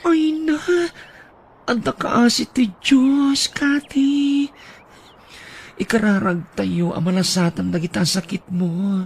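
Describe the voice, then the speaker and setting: Man putting on a shrill fretful woman friend. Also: steady tone, takes it slowly, vocal fry, breathy edge, full character voice. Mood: pleading. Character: fussy middle-aged woman friend, high-pitched, fretful. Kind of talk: audio drama acting.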